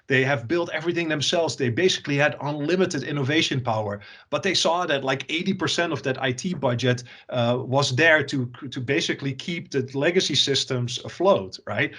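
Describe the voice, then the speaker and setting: A man from the Netherlands with a Dutch accent, an executive answering interview questions and often pausing with fillers and repeated words. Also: medium pitch, fairly bright tone. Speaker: software company executive.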